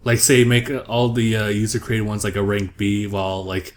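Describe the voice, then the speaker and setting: A man's voice: lowish voice, completely flat delivery, moderate pace, a little hiss or hum. A man chatting easily about games, laughing often and filling his talk with "like". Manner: easygoing, laughs often, many fillers. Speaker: co-host. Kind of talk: casual gaming discussion.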